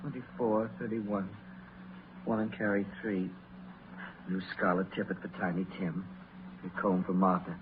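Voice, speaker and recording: An actor playing a meek, kindly, underpaid office clerk in a 1930s radio drama, deferential and hesitant. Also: male voice, low-pitched, level tone, a little slow, pauses often, phone-quality sound. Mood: absorbed, muttering. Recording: very noisy, thin 1930s radio recording.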